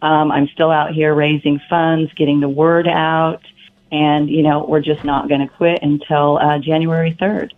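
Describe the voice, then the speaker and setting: A hoarse woman calling in to a radio show, earnest and grateful. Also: deep voice, flat delivery, unhurried. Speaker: caller. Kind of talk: phone-in chat. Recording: tinny phone line.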